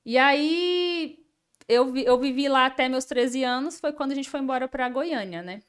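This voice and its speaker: Chatty Brazilian woman in her thirties, smooth. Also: high voice, moderate pace. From Ceará, Brazil. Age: 30s. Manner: chatty, frequent tag questions and laughs. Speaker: guest architect. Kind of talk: casual interview chat.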